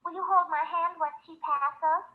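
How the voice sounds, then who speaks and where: A tinny old radio recording carries a young woman with a very high voice. She is a pleading, exasperated comedy sweetheart, played in theatrical 1930s style. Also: fairly even intonation, moderate pace. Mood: coaxing, annoyed.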